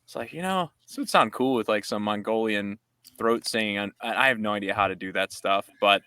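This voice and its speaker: A man's voice: on the low side, fairly quick, sharp tone, clean tone, dry recording, clean studio sound. A man, a musician guest, chatting casually and rambling upbeat about making a song. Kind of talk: casual chat.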